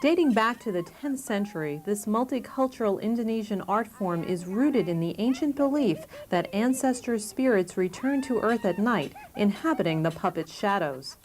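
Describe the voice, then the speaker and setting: A woman's voice: medium pitch, lively intonation, normal speed, few pauses, darkish tone. A woman reading scripted documentary narration as the narrator.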